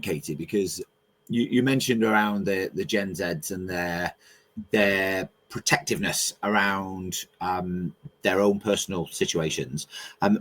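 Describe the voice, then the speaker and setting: A man moderates a webinar panel over a video call and asks his guests questions. His voice sits fairly low. He stops and restarts often and fills gaps with frequent ums. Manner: hesitant, many restarts and fillers. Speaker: webinar host.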